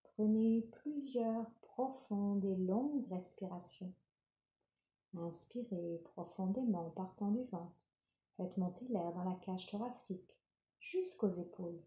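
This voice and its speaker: A woman leading a guided yoga nidra relaxation, giving calm, gentle, unhurried instructions. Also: medium pitch. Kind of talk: guided meditation, soothing instruction. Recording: roomy, reverberant sound.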